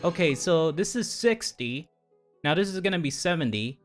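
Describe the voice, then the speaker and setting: A man's voice: fairly high pitch, animated, normal speed, faint music behind. A man streaming a game, chatting casually to viewers in a rambling, geeky, slangy way. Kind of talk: casual livestream chat to viewers while playing.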